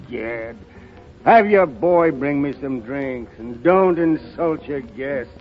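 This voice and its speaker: A man's voice: mid-range voice, animated, slow pace, soft background music. An actor in 1930s radio drama style, playing a proud, haughty, hard-drinking middle-aged lawyer and father with a theatrical, expansive manner. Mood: scornful. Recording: muffled vintage 1930s radio broadcast.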